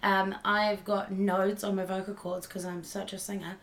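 A woman's voice: medium pitch, level tone, normal speed. A woman, co-hosting a casual podcast chat and laughing often. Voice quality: raspy. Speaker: podcast co-host. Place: home at night.

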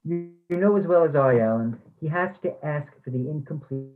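A man's voice: a little high, brisk, soft background music, lightly acted. A man reading the part of a formal, defensive former English instructor in a play. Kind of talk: readers theatre play reading. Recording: thin, phone-like sound.

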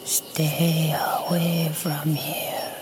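A woman playing a small, mysterious, childlike being in an audio drama, breathy, timid and halting. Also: low voice, little pitch movement, noisy background. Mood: eerie warning. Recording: echoing, with music behind. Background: music.

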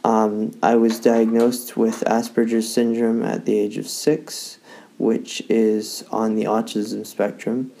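A man from Victoria, introducing himself in a halting, hesitant way full of fillers and restarts. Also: on the low side, completely flat delivery, creaky, mellow, poor audio. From Victoria, Canada. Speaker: social media creator for a youth advocacy office. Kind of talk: personal self-introduction.

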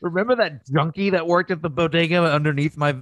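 A man's voice: a little high, fast, no room sound. A man chatting casually on a podcast, telling stories in a rambling, loose way with some swearing. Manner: profane, rambling storytelling. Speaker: podcast host. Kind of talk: casual conversational podcast chat.

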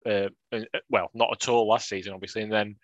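A man's voice: fairly low pitch, level tone, quick pace, no echo. A man, co-hosting a casual chat with wry, jokey banter. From England. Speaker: podcast co-host.

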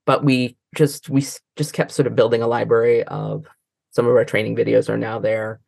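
A woman's voice: extremely low pitch, flat delivery, moderate pace, vocal fry, darkish tone, breathy edge, dry recording, studio quality. An American woman talking casually as an interview guest, rambling and often trailing off mid-thought. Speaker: interview guest, librarian and trainer.

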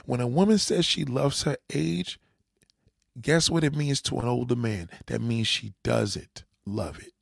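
Gravelly man lecturing bluntly. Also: mid-range voice, a little slow, dry recording, clean studio sound. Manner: lecturing, repeats "you understand?"; blunt, swears. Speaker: dating advice commentator. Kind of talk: reaction commentary.

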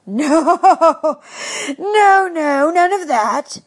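A woman's voice: a little high, big pitch swings, takes it slowly, slightly rough, voice pushed up, exaggerated voice. A woman doing a chuckling old rabbi. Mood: amused. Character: kindly old male rabbi, amused and patient.

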